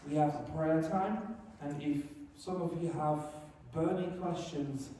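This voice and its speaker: A German-born teacher leads a workshop in a conference room, joking at his own expense between points. His voice sits fairly high for a man and rings around a very echoey room. He is picked up from a distance, with faint noise underneath. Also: fairly even intonation, unhurried, mellow. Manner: self-deprecating, joking.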